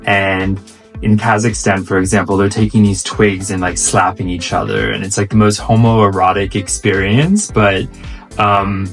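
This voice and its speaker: An American man in his thirties speaks fluently and easily as co-host, with a fairly low voice. Faint music sits in the background. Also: moderate pace. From United States. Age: 30s. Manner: fluent, easygoing, relaxed storytelling. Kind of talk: casual chat.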